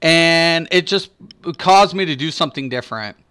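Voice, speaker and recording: A man's voice: a little high, animated, moderate pace, sharp tone, clear, resonant tone. A man streaming a game, chatting casually and rambling with lots of fillers while thanking his viewers. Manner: talks to chat viewers by name; laughs often, playful. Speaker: gaming streamer. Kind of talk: casual live-stream chat.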